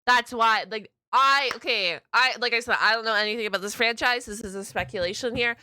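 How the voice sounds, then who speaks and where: A woman's voice: fairly high pitch, a little fast, pushed and bright. A woman giving casual reaction commentary as a host, thinking aloud and hesitating, with many uses of "like".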